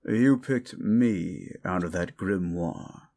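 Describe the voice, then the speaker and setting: A man playing a condescending, sardonic ancient demon in an audio roleplay, gravelly, with theatrical, mocking delivery. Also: sits low, takes it slowly, dark tone, exaggerated voice. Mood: bemused, exasperated. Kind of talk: audio roleplay monologue addressed to the listener.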